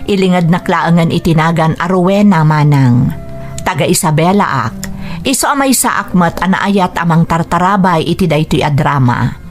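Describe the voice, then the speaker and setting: A woman's voice: lowish voice, expressive, moderate pace, soft background music. A woman with an Ilocano accent reading a letter as a radio drama host, in scripted narration.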